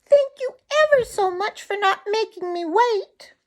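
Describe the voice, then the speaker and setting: Storybook chickadee, played by a woman: tiny, weak but cheery little fellow, very high squeaky chirp. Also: lively intonation, unhurried. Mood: grateful. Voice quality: squeaky, thin, faint. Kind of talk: children's storybook character voice.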